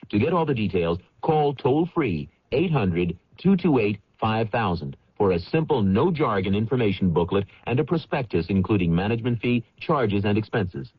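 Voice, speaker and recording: A man's voice: fairly low pitch, slightly rough, no echo. A man reading a scripted financial commercial as a radio announcer. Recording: dull vintage radio commercial.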